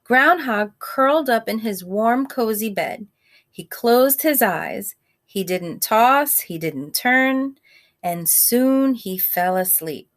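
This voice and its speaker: A woman's voice: on the high side, lively intonation, slow, a bit bright. A woman reading a picture book aloud to young children, expressively, with conversational asides and questions to the listeners.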